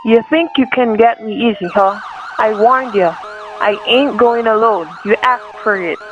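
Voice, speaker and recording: A woman's voice: fairly high pitch, measured pace, background noise, natural voice. A woman playing a menacing, suspicious male gunman in a radio thriller, with sarcastic, slangy tough-guy talk. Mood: threatening, suspicious. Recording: thin, phone-like radio-play recording.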